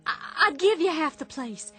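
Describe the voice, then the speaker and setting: A bitter, sick widow. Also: female voice, high voice, lively intonation, normal speed, fairly bright tone. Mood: pleading. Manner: emotional and insistent, with stammered starts. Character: sick, bitter Southern widow clinging to her grief. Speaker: radio drama actress. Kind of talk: old-time radio drama acting. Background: faint underscore music.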